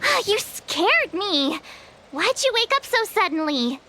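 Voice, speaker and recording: An actress playing a perky, impatient teenage girl adventurer in an anime-style game, bubbly and expressive as she chats. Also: extremely high pitch, takes it slowly, slight background noise, full character voice. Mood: excited.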